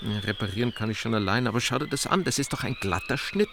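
An actor playing a wry, guarded writer who is an outsider, speaking in a dry, hesitant, understated way. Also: male voice, mid-range voice, fast, noisy background, close to an everyday voice. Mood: annoyed, suspicious. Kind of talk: naturalistic film acting.

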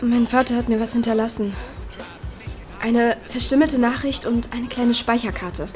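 A woman plays a troubled twenty-year-old in an audio drama. Her voice is high, her talk youthful, slangy and hesitant but determined. Music runs faintly behind it. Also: steady tone, darkish tone, natural voice. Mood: earnest, suspicious. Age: young adult. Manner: casual, youthful slang, halting. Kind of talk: naturalistic audio drama dialogue.

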